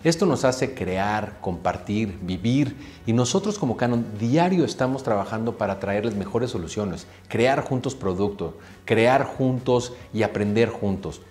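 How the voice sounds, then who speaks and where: A man's voice: on the low side, expressive, measured pace, a little mellow. A man speaking for a company, giving earnest, emphatic opening remarks in an office room. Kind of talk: opening speech.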